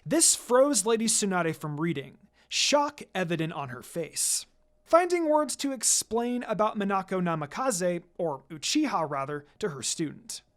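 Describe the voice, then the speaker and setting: An animated narrator reads a scripted story aloud. The pitch moves around a great deal, giving the prose a lively read-aloud feel. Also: a little slow. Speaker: fan fiction narrator.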